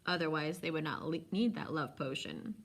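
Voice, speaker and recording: A woman's voice: low-pitched, lively intonation, moderate pace. A woman voicing a polite, sly, coolly indifferent old man selling potions in a storybook reading, with precise, insinuating salesman's patter. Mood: confiding. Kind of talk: storybook read-aloud.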